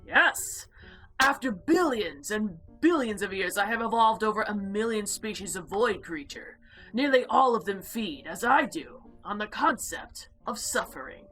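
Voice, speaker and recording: An imperious female eldritch being of the void, voiced by a woman for a visual novel. She speaks with formal, haughty deliberation and a sharp, bright edge. Faint music plays behind her. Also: mid-range voice, lively intonation, measured pace, poor audio. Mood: proudly delighted. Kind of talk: visual novel character voice-over.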